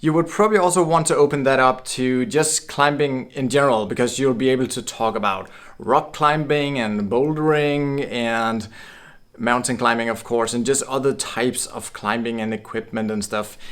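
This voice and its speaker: A man from Denmark giving a casual, upbeat explainer to camera, chatty and chuckling now and then. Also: mid-range voice. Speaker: blogging course creator.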